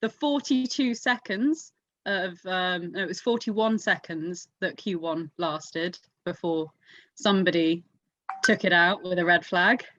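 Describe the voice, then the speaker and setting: A woman's voice: lowish voice, a little slow, a bit bright. A young woman hosting a podcast in casual chat, on a dull, roomy lo-fi recording. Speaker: motor racing podcast host.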